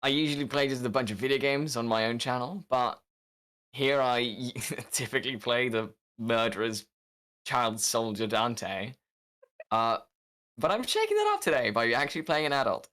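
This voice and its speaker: A man's voice: mid-range voice, sing-song, normal speed, a bit bright. A man introducing himself as a player, sounding amused.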